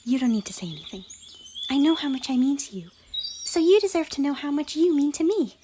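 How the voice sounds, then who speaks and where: Casting: a woman to play a gentle, chirpy girl pony for cartoon-style ASMR, affectionate, very animated pitch, often whispery. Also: a little fast. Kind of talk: roleplay ASMR character dialogue. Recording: noisy, birdsong and outdoor ambience behind. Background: birdsong and nature ambience.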